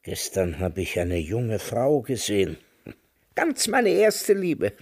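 An actor playing a sardonic, world-weary old emigrant in a German radio drama, dry and deliberate in manner. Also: male voice, on the low side, wildly swooping pitch, husky, full character voice. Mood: wistful reminiscing. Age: older.

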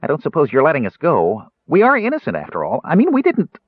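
A man's voice: fairly high pitch, huge pitch swings, a little fast, husky, no echo, narrow, tinny audio, pitched above the performer's own voice, full character voice. A man voicing a small, naive, eager kender in an audiobook, rambling earnestly and easily wounded. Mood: eager, doubtful.

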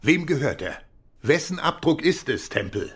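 A man acting a brisk, suspicious senior police chief in a German radio crime drama, with clipped, authoritative questioning. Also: a little high, big pitch swings, music in the background. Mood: brisk command.

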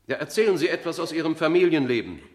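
Insistent bureaucrat, male actor: businesslike, lightly high-pitched, very varied intonation, radio drama. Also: lively intonation, fast talker, lightly acted. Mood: insistent, official. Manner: formal, impatient, businesslike. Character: brisk, insistent male official running an identification. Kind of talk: German radio play acting.

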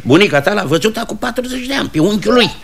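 A husky, creaky, excitable father's voice. He is gullible and bossy, pitching rather high and insisting as a small-town dignitary in classic radio comedy style. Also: exaggerated voice. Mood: excited, insistent. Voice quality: husky, gravelly.

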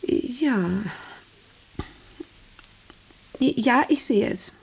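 A woman's voice: high-pitched, takes it slowly, breathy edge, full character voice. A woman acting a rigid, tightly wound factory director in a German radio play, giving clipped, imperious orders. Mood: cool, dismissive.

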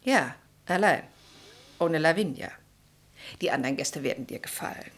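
A woman's voice: low voice, lively intonation, moderate pace. An actress in a German radio play voicing a meddling, chatty and self-assured old society lady, inquisitive and bossy in a theatrical way. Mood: playfully bossy. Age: older.

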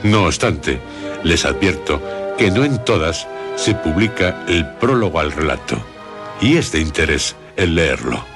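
Male radio host, Spain accent, very low voice, formal deliberate literary narration over prominent music. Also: big pitch swings. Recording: music bed under the voice.

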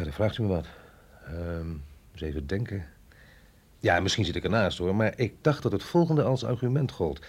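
Sceptische agent met schorre stem. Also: male voice, fairly low pitch, a little mellow, breathy edge. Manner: hesitant, questioning, wry. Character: sceptical, wry male agent, an outsider out of his depth. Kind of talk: radio drama acting.

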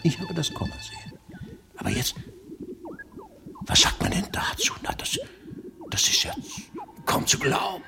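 Loud music plays under a self-satisfied man watching from a window. His voice is gravelly and lively, repeating his exclamations with the air of someone who expected everything. It is absurd seventies radio drama. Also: high voice, a little slow, long pauses, exaggerated voice. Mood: uneasy commentary. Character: smug unsurprised male onlooker at a window, excitedly commenting. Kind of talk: absurd 1970s radio play acting.

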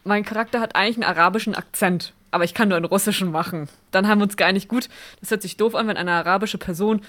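A woman's voice: mid-range voice, fairly even intonation, very fast, a bit bright. A young woman co-hosting a casual podcast chat, talking with many fillers and restarts. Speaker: podcast co-host.

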